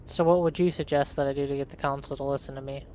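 A man narrating a let's play and reading the player's dialogue in a flat, matter-of-fact way. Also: medium pitch, quick pace, slight background noise. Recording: voice-over with clear game ambience.

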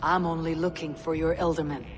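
A woman playing a stern, battle-hardened Viking warrior in a video game, blunt, measured and commanding. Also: low-pitched, flat delivery, a little hiss or hum, close to an everyday voice. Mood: steady, reassuring. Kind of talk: video game cutscene acting. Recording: reverberant hall sound under music.

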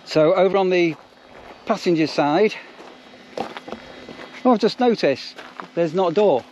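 A man's voice: high voice, measured pace, darkish tone, muffled recording, slight background noise. A man with a Manchester accent, touring a motorhome and admiring it with enthusiasm. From Greater Manchester, England. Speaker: vlogger, campsite warden. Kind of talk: casual walkaround tour. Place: outdoors at a campsite.

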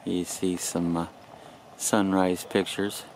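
Older man, low gravelly voice, easygoing running commentary outdoors, clear wind and field noise on the camera mic. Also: poor audio. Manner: relaxed, rambling, with frequent "uh" pauses. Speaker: vlogger filming at a model airfield. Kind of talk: casual narration while filming. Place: outdoors at a grassy flying field.

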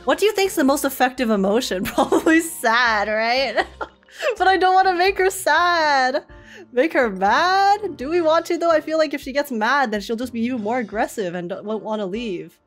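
A woman giving casual reaction commentary as she plays a video game, chatty and thinking out loud. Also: sits high, animated, normal speed. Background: game music.

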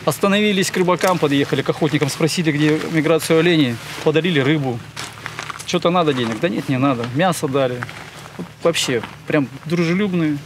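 Traveler, man, fairly high voice, plain and friendly, outdoor noise clear behind. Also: fairly quick. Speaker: traveler interviewed. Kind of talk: casual interview answers.

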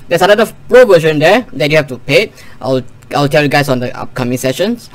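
A young man giving a casual software tutorial, talking himself through each step in an informal, rambling way. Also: fairly high pitch, lively intonation, fairly quick. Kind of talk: casual screen-share tutorial. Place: indoor living room gaming setup. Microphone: close desktop USB microphone.

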